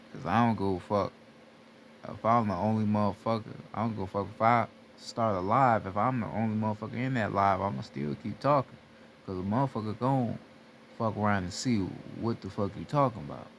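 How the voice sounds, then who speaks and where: A man's voice: fairly low pitch, normal speed, vocal fry, slight background noise. A man giving a casual spiritual talk, blunt and repetitive, swearing freely as he urges listeners to wake up. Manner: blunt, repetitive, heavy profanity. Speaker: spiritual teacher. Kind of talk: casual motivational monologue.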